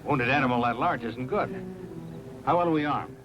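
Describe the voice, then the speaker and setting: An actor playing a grave, authoritative doctor and scientist in a 1950s science fiction film. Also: male voice, medium pitch, moderate pace, highs cut off, close to an everyday voice. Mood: concerned. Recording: old film soundtrack with music underneath.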